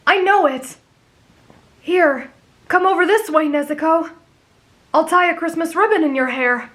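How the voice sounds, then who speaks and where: A woman's voice: extremely high pitch, measured pace, a bit bright. A woman voicing a kind, earnest teenage boy with a sincere, reassuring big-brotherly manner, in an anime fan roleplay. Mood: tender, reassuring.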